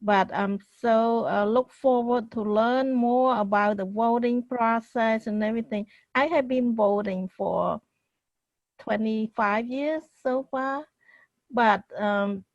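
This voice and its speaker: Slow woman, muffled lo-fi. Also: a little high, no echo. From Cambodia. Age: middle-aged. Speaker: co-host. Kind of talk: self-introduction on a show. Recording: dull lo-fi sound.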